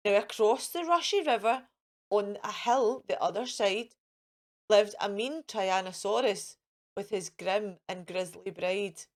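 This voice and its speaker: A woman's voice: medium pitch, unhurried. A woman hosting a children's storytime, reading a picture book in a sing-song way for young listeners. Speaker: children's storytime host and reader.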